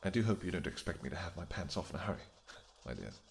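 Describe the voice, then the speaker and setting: A man voicing a flirtatious royal vampire king who teases affectionately, with a gravelly voice. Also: very animated, quick pace, slight background noise, exaggerated voice. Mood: flirty teasing. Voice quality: gravelly, low. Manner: teasing, affectionate, soft murmurs. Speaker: audio roleplay performer. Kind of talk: audio roleplay acting.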